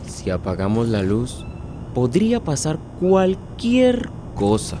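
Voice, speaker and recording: A rigid, order-following policeman in a radio play. He talks slowly and curtly, with no give in him. Clear ambient noise sits behind the voice. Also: medium pitch, wildly swooping pitch. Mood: stern. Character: rigid, callous male police guard who follows orders. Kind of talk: radio drama acting.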